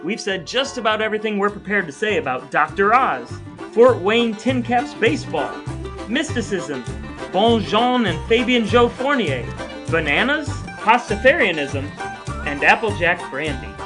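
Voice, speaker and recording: A man's voice: high-pitched, big pitch swings, moderate pace, music in the background. A man hosting a casual podcast, speaking in a playfully grandiose way. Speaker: podcast host. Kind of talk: casual podcast banter with scripted sign-off.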